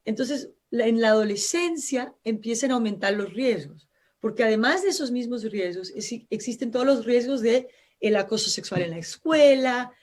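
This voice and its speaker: A woman's voice: fairly high pitch, lively intonation, normal speed, darkish tone. A woman from Brazil, a native Portuguese speaker with a Brazilian accent, speaking Spanish as a guest expert on a live radio interview, explaining earnestly with frequent restarts.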